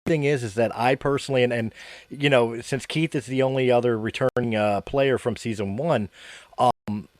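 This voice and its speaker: A man's voice: medium pitch, fairly even intonation, normal speed, dry recording. A man hosting a casual podcast chat. He rambles, repeats himself and laughs often. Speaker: podcast host.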